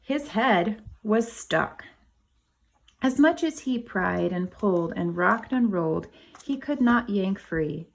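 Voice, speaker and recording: A woman's voice: mid-range voice, expressive, slow, gravelly, poor audio. A woman teacher reading a picture book aloud to young children, adding simple explanatory asides.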